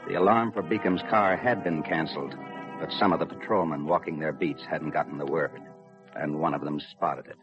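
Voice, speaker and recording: An actor playing a dutiful, weary police lieutenant in a 1950s radio drama, with understated, slightly hesitant delivery. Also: male voice, rumbling low, steady tone, fairly quick. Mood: grim narration. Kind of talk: 1950s radio drama acting and first-person narration. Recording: muffled old radio recording with music.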